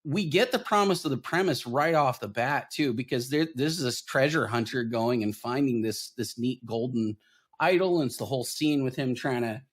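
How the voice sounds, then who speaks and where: A man hosting a casual film discussion podcast, explaining his points in an animated, emphatic way. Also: mid-range voice, moderate pace. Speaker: podcast host.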